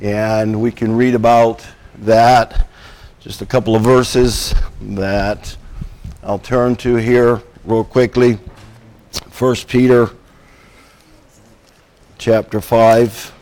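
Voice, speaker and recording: A man preaching a Sunday sermon, hoarse and croaky from a cold, speaking haltingly with frequent ums and throat clearing. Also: mid-range voice, little pitch movement, a little mellow.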